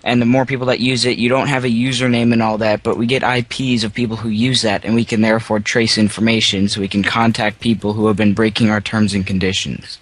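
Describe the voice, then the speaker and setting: A man's voice: on the low side, flat delivery, quick pace, hardly any pauses, no echo, natural voice. A man playing an earnest website manager on a prank phone call, explaining things and repeating words for emphasis. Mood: earnest, explanatory. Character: earnest male website manager reporting user complaints. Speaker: prank caller.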